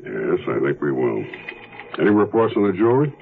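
An actor playing a shrewd, methodical police detective who narrates his case in a measured way in a 1970s radio drama. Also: deep voice, big pitch swings, creaky, full character voice. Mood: businesslike, determined. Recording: dull 1970s radio recording with music.

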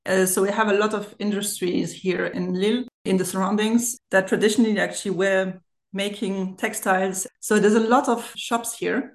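A woman's voice: medium pitch, fairly even intonation, normal speed. A woman in her thirties with a German accent, talking in a chatty, informal way as an interview guest. Her voice sits mid-range and comes through with a noticeable room echo. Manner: chatty, giggles often as she talks. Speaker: podcast guest. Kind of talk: casual chat. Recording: roomy, echoey remote recording.

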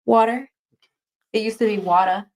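A woman's voice: medium pitch, fairly even intonation, moderate pace, a little mellow. A tired woman with a faint Boston accent, co-hosting a casual live Q&A and reading viewer questions aloud with frequent laughs. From Boston area. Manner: chatty, giggly, reads comments aloud.

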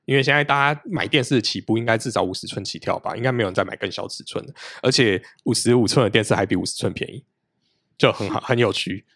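Fast-talking man with a low voice. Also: a bit bright, breathy edge, dry recording, clean studio sound. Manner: explains at length, practical and detailed. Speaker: podcast guest. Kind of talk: casual chat.

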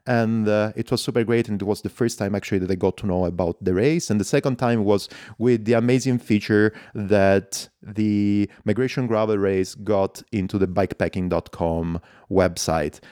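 Man, Italian accent, native Italian speaker, low voice, dry close sound, effusive podcast host, informal phrasing. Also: fairly even intonation, normal speed, hardly any pauses, warm tone, no room sound. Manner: effusive, informal, frequent intensifiers. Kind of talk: casual interview hosting.

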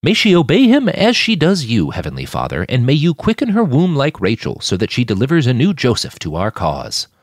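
A man's voice: mid-range voice, huge pitch swings, fairly quick, hardly any pauses, dry recording, clean studio sound, pitched above the performer's own voice. A man voicing a pious, bookish younger smuggler in an audiobook, haggard and formal like a preacher. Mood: solemn praying.

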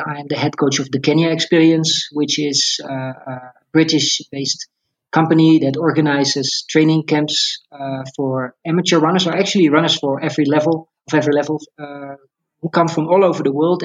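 A man in his forties with a Dutch accent and a moderately high-pitched voice, recorded with muffled, lo-fi sound. He speaks casually, as a running coach being interviewed. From Netherlands. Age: 40s.

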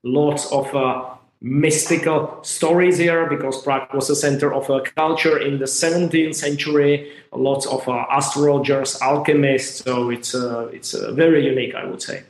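A man from the Republic, a martial arts and strength instructor talking as a guest in a casual interview, halting and earnest with many fillers. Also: medium pitch, steady tone. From Czech Republic. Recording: roomy echo.

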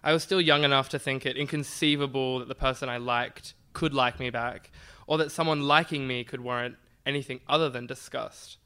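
Bright, clear male storyteller. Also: medium pitch, fairly even intonation, brisk. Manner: wry, self-deprecating. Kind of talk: scripted comic personal storytelling on stage.

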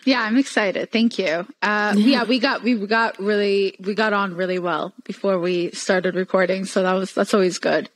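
A woman's voice: medium pitch, fairly even intonation, moderate pace, few pauses, low-quality recording. A young woman whose first language is Hebrew, speaking as a podcast guest and telling her story in a candid, earnest way. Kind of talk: casual podcast conversation.

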